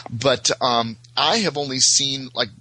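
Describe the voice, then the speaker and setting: Middle-aged American male, very bright and sharp-edged, mid pitch, rambling casual podcast guest. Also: a little slow, husky, low-quality recording. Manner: rambling, hesitant, frequent filler words. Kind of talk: casual chat about TV shows.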